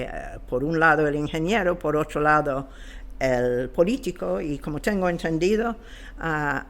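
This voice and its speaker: A man giving an informal historical explanation as an interview guest, halting and searching for his words. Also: a little high, fairly bright tone.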